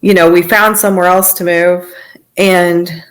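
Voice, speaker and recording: A woman's voice: fairly low pitch, little pitch movement, unhurried, rough recording. A woman giving personal testimony as a podcast guest, in informal, conversational storytelling.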